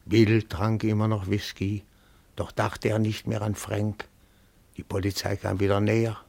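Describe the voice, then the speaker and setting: An actor playing a timid, reverent elderly retired bookkeeper, pedantic and deferential, with a gravelly, breathy voice in a German radio play. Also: male voice, fairly low pitch, little pitch movement, a little mellow, heavily acted. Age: older. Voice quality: gravelly, husky, often breathy. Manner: deferential, pedantic, slow and careful.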